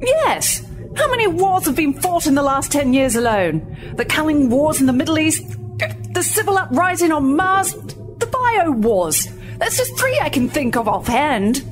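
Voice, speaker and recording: A woman's voice: high-pitched, sing-song, a little slow, faint music behind. An actress playing a prickly, sardonic corporate woman on a space station in a sci-fi audio drama. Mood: indignant. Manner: sardonic, cutting.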